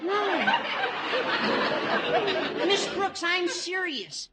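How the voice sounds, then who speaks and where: A performer playing an eager, bouncy teenage schoolboy in a 1950s radio sitcom, chattering earnestly and excitably. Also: very high-pitched, very slow, over a noisy crowd, very noisy, exaggerated voice.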